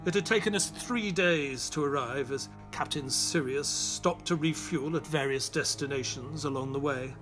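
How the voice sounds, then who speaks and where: An actor playing a loyal, grieving gentleman who narrates a detective audio drama in a measured, reflective manner. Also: on the high side, over music, lightly acted.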